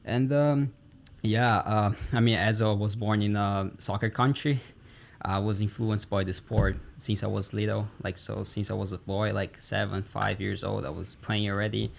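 A young man with a Brazilian accent talks casually as a podcast guest. His voice is low with noticeable fry, and faint room noise sits behind it. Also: steady tone, moderate pace. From São Paulo, Brazil. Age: teens or young adult. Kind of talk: casual interview chat.

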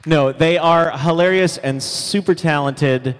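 A man's voice: a little high, unhurried, clear, resonant tone. A man hosting a live show, giving a casual, enthusiastic stage introduction. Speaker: live show host, announcer. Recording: echoey hall sound with long reverb.

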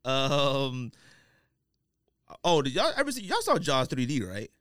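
A man's voice: mid-range voice, huge pitch swings, unhurried, a bit bright, no room sound, studio quality. A man co-hosting a podcast in casual banter, talking slangily and joking around. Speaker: podcast co-host. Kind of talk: casual banter about sneakers.

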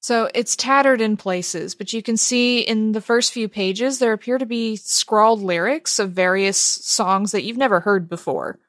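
A woman's voice: mid-range voice, measured pace, hardly any pauses, a bit bright. The woman at the head of a gaming table, narrating the scene to her players, heard close with no room echo. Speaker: game master. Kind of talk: narrating a tabletop role-playing game.

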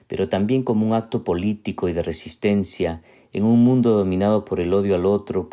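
Man, writer introducing his reading, earnest, lowish voice. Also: mellow. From Peru. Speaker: writer and literature professor.

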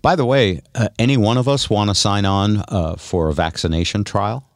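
Deep-voiced, wry man hosting talk radio. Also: moderate pace, no echo, clean studio sound. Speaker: radio show host. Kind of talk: casual radio banter.

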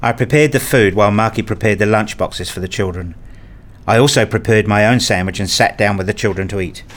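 A man reading scripted first-person narration for a radio play in a measured, understated, literary manner. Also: fairly low pitch. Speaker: narrator and lead character, a father.

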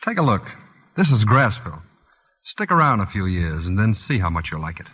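A man's voice: fairly low pitch, big pitch swings, fairly quick, a bit bright, husky, exaggerated voice. An actor playing a blunt, proud working-class young man in an old radio drama, curt, guarded and sardonic. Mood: guarded, bitter. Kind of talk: 1940s-50s radio drama acting. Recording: dull old radio broadcast with music.

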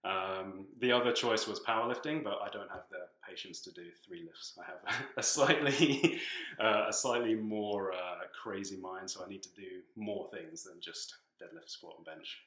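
A young British-born man raised in East Africa, chatting casually as a podcast guest about training, with a relaxed, filler-laden way of talking. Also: low-pitched, moderate pace, echoey room. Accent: British English, shifting from living abroad. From born in England, grew up in Tanzania. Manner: relaxed, chatty, many fillers, laughs between phrases. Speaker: podcast guest, strength coach. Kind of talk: casual conversational interview.

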